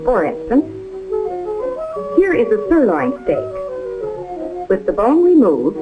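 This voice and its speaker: A woman's voice: medium pitch, wildly swooping pitch, takes it slowly, phone-quality sound. A woman giving precise, step-by-step scripted instruction as a home economist in a 1950s training film. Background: music.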